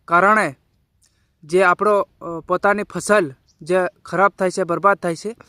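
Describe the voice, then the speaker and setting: A man giving informal social media commentary to viewers in a halting, repetitive, colloquial way. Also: sits high, steady tone, pushed and bright, no room sound, clean studio sound. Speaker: video creator and community commentator.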